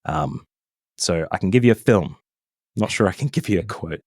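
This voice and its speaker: A man from Australia with a mild Australian accent, chatting casually as a podcast guest, relaxed, with lots of ums and self-corrections. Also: low voice, normal speed, dry recording, clean studio sound. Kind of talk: casual interview chat.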